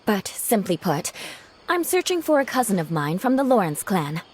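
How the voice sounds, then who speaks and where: A woman's voice: mid-range voice, big pitch swings, normal speed, slight background noise, lightly acted. A woman playing a proud, cool, dutiful noblewoman knight in a video game, with a formal, haughty manner. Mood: serious. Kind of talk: video game cutscene acting.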